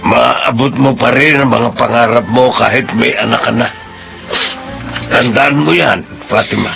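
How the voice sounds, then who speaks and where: Gravelly elderly retired soldier. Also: male voice, medium pitch, fairly even intonation, a little slow, bright, forceful tone, soft background music, full character voice. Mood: gentle, consoling. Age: older. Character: patient, principled elderly retired soldier, kindly benefactor. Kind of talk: radio drama acting with narration. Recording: noisy radio drama recording.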